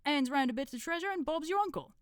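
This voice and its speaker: Storybook know-it-all brother, acted by a woman: colloquial, rambling, lifted in pitch and lively. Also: fast talker, no echo, studio quality. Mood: knowing, cheerful. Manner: colloquial, rambling, matter-of-fact. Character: chatty, know-it-all male member of a secret brotherhood.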